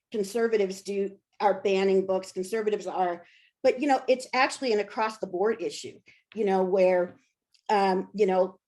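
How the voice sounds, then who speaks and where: A woman's voice: medium pitch, darkish tone. A woman being interviewed as an author and scholar, talking casually and candidly with self-deprecating humor.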